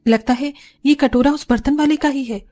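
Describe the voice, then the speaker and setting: A kind, devout young mother from a cartoon tale, acted by a woman. Her voice sits a little high. She talks with humble, earnest politeness. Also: fairly even intonation, moderate pace, warm tone, lightly acted. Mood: puzzled. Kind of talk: Hindi cartoon story voice acting.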